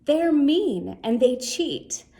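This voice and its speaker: A woman's voice: high voice, sing-song, very slow, vocal fry, dark tone, pitched above the performer's own voice, full character voice. A woman voicing scornful, gossipy townsfolk who disapprove, in a children's storybook. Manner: scornful, exclaiming. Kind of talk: storybook character voice.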